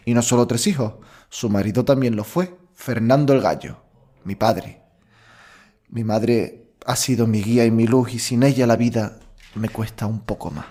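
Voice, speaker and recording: A man plays a young bullfighter in an audio drama, with a medium-pitched voice. He is proud and heartfelt, confiding in an earnest, conversational way as if to a close friend. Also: darkish tone, natural voice.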